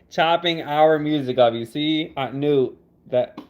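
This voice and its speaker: A young man on a casual livestream, laid-back and teasing, laughing as he answers viewer comments. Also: a little high. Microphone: phone. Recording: dull lo-fi phone audio.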